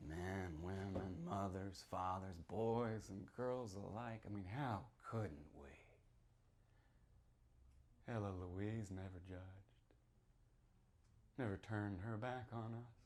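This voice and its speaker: A man performing a sly small-town local who tells a witch legend, theatrical and confiding, moving between hushed and declaimed delivery. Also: lowish voice, steady tone, slow pace, pauses often, pitched below the performer's own voice. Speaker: author reading from own novel. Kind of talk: dramatic live reading of a horror story.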